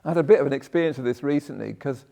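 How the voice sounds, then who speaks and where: A man with a croaky voice giving a conversational Buddhist talk, often checking in with "yeah?" and breaking off his sentences. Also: mid-range voice, very fast, mellow. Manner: conversational, tag questions, self-interrupting. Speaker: Buddhist teacher. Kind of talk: dharma talk. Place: meditation hall.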